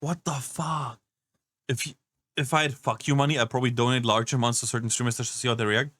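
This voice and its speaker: A man's voice: mid-range voice, steady tone, fast talker, fairly bright tone, no room sound. A man doing casual reaction commentary, incredulous and rambling, swearing often. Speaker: reaction streamer.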